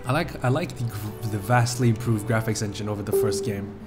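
A man's voice: lowish voice, a little fast. A man giving casual commentary over gameplay with wry, sarcastic asides. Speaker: gameplay video host. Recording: game music under commentary.

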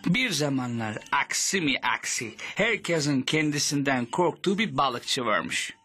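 A man's voice: medium pitch, breathy edge, exaggerated voice. An actor voicing a jolly older fisherman, telling children a moral tale in a cartoon. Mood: storytelling. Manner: animated, unhurried storyteller's delivery. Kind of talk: animated cartoon storytelling to children. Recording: music behind the voice. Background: music.

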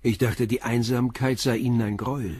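An actor in a German crime radio play playing a calm, persistent middle-aged police commissioner who questions in a measured, blunt way. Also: male voice, mid-range voice, breathy edge, no echo, studio quality, lightly acted. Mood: probing.

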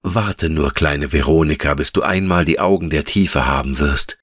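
A man voicing a wise, gentle female elf in a fairy-tale audiobook, speaking in a measured, patient and tender way. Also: extremely low pitch, slightly rough, dry recording, studio quality, full character voice. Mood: solemn, tender. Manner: measured, patient, lyrical explaining.

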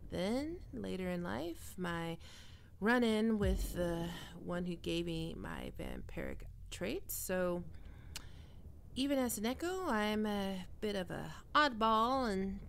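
A woman's voice: mid-range voice, very animated, takes it slowly, creaky, heavily acted. A woman playing a defensive young half-vampire catgirl roommate in an ASMR roleplay, teasing and stammering with hesitant tsundere bluster. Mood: snarky, defensive.

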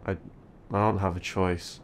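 A man's voice: sits low, little pitch movement, a little slow, a little hiss or hum. A man playing a burdened, earnest young eldest son. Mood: troubled. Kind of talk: audio drama acting.

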